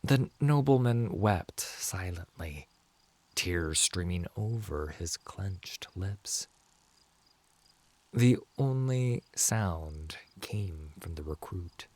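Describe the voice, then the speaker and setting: A man narrating a dramatic fantasy story in a measured, solemn manner. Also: low-pitched, animated, a little mellow, dry recording.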